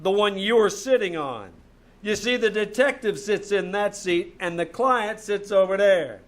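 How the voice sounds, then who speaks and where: A man's voice: high voice, a little slow. A man playing a wisecracking, irritable hard-boiled private eye in old-time radio comedy style, wry and bossy. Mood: mock-formal. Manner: wry, bossy, mock-formal. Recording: noisy live stage recording.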